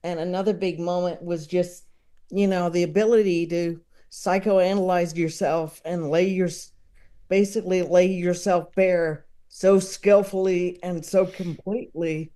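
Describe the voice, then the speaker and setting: A podcast guest talking casually and reflectively, in a halting, thoughtful way, repeating words while searching for the right phrasing. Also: medium pitch, steady tone, warm tone, smooth. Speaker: podcast guest, comedy enthusiast. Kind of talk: casual reflective interview talk.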